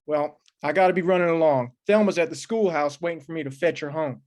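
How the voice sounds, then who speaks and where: A woman's voice: low-pitched, brisk, a little mellow, natural voice. A woman playing a touchy, guarded small-town banker in an old-time western radio drama, talking in a curt, folksy way. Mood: defensive.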